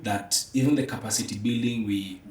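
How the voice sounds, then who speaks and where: A man with a Kenyan accent speaking in an animated way in a conversational panel discussion. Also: on the low side, brisk, a little hiss or hum. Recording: echoey room, distant from mic.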